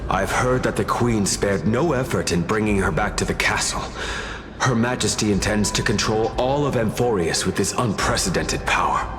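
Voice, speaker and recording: An actor playing a weary man who passes on rumors in a hushed, halting, breathy voice in a game drama. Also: fairly low pitch, natural voice. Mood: hushed, grave. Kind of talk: dramatic scripted game voice acting. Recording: music behind.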